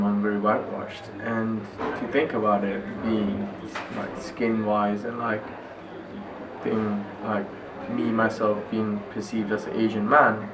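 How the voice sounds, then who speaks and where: A young man from Italy, talking hesitantly with many filler words in an interview. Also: lowish voice. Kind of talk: personal reflection in interview. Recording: echoey, distant mic with loud ambient noise.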